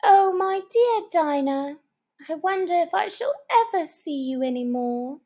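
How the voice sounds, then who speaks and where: A woman's voice: very high voice, animated, a little slow, warm tone, pitched above the performer's own voice, heavily acted. A woman voicing a polite, naive little girl in a storybook, with an earnest, pleading manner. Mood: melancholy.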